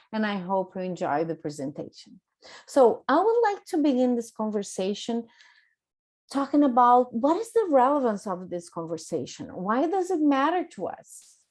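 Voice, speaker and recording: Woman with a Brazilian Portuguese accent and a somewhat high voice, a coach giving a deliberate, careful presentation over a video call. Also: a little mellow. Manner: careful, deliberate, with small self-corrections. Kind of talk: prepared online presentation.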